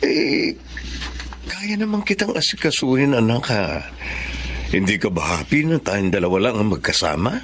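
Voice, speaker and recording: Expressive, tender widowed Filipino father. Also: fairly low pitch, moderate pace, a little hiss or hum. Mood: affectionate. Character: Richard, tender widowed father of a young daughter. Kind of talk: Filipino radio drama acting, narrating and dialogue. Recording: studio radio drama with background music.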